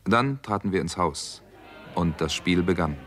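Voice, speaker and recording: The doctor hero of a radio crime drama, with a very low voice. He speaks tersely and with control, as a sober detective-story narrator would. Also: expressive, unhurried, over music. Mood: sober narration.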